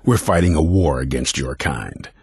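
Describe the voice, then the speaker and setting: An actor playing a stern, suspicious vampire-hunter commander in a fantasy video game, blunt and commanding, with a gruff gravelly voice. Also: male voice, animated, normal speed, darkish tone, dry recording, studio quality, heavily acted. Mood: stern, curt. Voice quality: very deep, gravelly, raspy. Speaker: leader of a vampire-hunting order.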